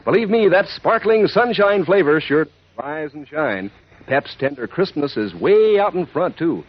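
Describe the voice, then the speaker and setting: Casting: male 1940s cereal pitchman, folksy and chummy, very lively intonation, rough-edged voice, dull old radio sound. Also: measured pace. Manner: chummy, folksy sales patter. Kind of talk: 1940s radio advertising read. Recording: muffled 1940s radio recording.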